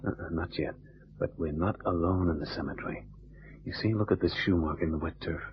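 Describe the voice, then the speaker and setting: Man acting a tough low-voiced radio detective. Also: fairly quick, close to an everyday voice. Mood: hushed, urgent. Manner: clipped tough-guy slang, calls partner baby. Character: tough, quick-witted male private detective, wisecracking and bossy. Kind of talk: old-time radio detective drama acting. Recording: dull, noisy vintage radio recording with hum.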